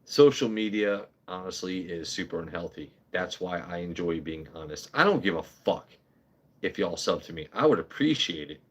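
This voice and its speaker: Blunt guy in his 30s, lowish voice, swears a lot, rambles on his livestream. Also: animated, moderate pace, fairly bright tone, husky. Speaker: whiskey channel host. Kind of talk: casual livestream chat.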